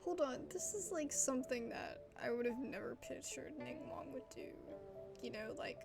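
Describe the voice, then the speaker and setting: A woman's voice: sits high, expressive, normal speed, gravelly, over music. A woman streamer doing casual, chatty let's play commentary and reading game lines aloud in an informal way. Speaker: gaming streamer.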